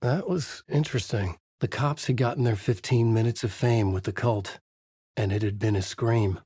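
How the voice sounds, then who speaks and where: An actor playing a haunted male horror writer who narrates in a measured, brooding way in a dry studio booth. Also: medium pitch, mellow, husky, no echo, natural voice. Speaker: video game character narrating. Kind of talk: game voice lines, dark narration. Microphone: studio mic.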